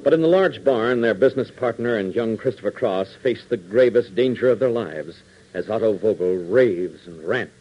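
A man's voice: fairly low pitch, expressive, moderate pace. An American man narrating a 1940s radio adventure serial in a dramatic, declamatory announcer style. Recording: muffled, hissy 1940s radio transcription.

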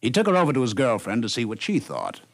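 A man's voice: mid-range voice, huge pitch swings, quick pace, creaky, dry recording. An American man reading scripted narration in the manner of a 1950s radio documentary narrator.

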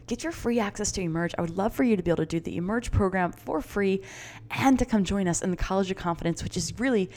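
A woman's voice: medium pitch, quick pace, darkish tone. A middle-aged woman hosting her own podcast as a confidence coach, chatty and enthusiastic, encouraging her listeners with a few fillers. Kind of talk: upbeat solo podcast talk.

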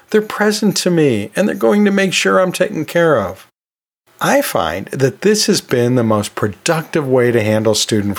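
A man hosting a podcast, talking through teaching tips in a conversational, emphatic way with self-deprecating humor. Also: a little high. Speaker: podcast host, educator.

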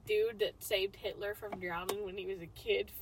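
A young woman co-hosting a podcast, reacting loudly and profanely in casual chat. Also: medium pitch, moderate pace, crowd noise. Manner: loud, profane, reactive, animated. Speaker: podcast co-host.

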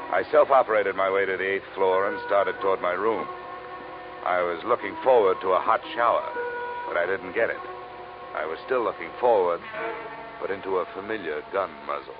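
A man's voice: sits low, close to an everyday voice. An actor playing a wry, hard-boiled male investigator, sardonic and dry, in a vintage radio detective drama. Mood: wry narration. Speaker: lead and narrator. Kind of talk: 1950s radio detective acting and narration. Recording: dull, faintly noisy vintage radio recording.

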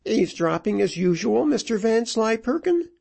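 A brash storybook widow, acted by a man, scolds in a high, swooping voice. She is mocking and indignant, hammering out each rebuke with scornful emphasis. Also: very animated, normal speed, creaky, a little mellow, full character voice. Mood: cool disdain. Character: brash sharp-tongued middle-aged widow, scornful and scolding. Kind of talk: dramatised audiobook character voice.

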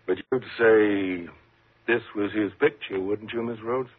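An actor playing a calm, measured, patronizing prison warden in a 1950s radio thriller. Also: male voice, fairly low pitch, husky. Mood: grave, firm. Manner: measured, reassuring, condescending. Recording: muffled, lo-fi 1950s radio recording.

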